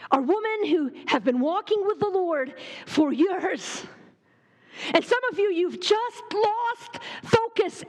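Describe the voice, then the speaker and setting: High-voiced woman preaching in an echoey hall. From Lebanon. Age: 40s. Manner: fast, joking, fervent. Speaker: retreat speaker. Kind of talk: women's retreat sermon.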